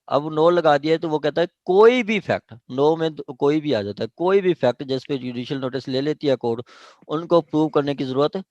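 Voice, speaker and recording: A man's voice: mid-range voice, no room sound. Pakistani man lecturing, dry close sound. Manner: didactic, punctuates points with check-in questions. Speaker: law lecturer. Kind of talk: lecture explaining statute law section by section.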